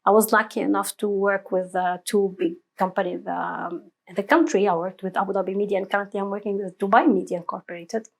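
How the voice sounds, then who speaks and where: A woman's voice: mid-range voice, fairly even intonation, fast, vocal fry, few pauses. A woman in her thirties from Tunisia, speaking English with an Arabic accent as an interview guest, hesitant and full of fillers, laughing often. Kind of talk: conversational interview.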